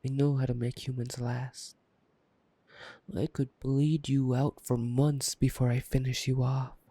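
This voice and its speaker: A man playing a taunting, playful, predatory vampire in an intimate ASMR roleplay, teasing and drawling with soft menace. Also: medium pitch, little pitch movement, measured pace, no room sound, natural voice. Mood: taunting, menacing.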